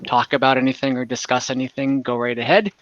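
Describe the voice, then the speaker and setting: A man narrating an online tabletop game as game master in a casual, halting way, often searching for words. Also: mid-range voice, flat delivery, a bit bright, dry recording, highs cut off.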